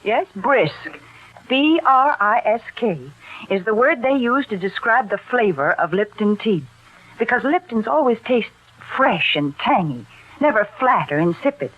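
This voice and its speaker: A woman's voice: a little high, lively intonation, a little slow. A woman playing a prim, cheery spokeswoman, mixing scolding banter and sales talk in 1940s radio style. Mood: chirpy, persuasive. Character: prim, squeamish, cheery female tea pitchwoman. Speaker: sponsor's spokeswoman and co-host. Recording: muffled old radio broadcast.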